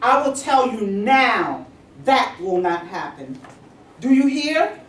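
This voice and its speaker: A woman's voice: fairly high pitch, very animated, slow pace. A woman playing an indignant, domineering male factory boss in a blustering, affronted manner at a staged play reading. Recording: echoey room, distant mic, faint noise.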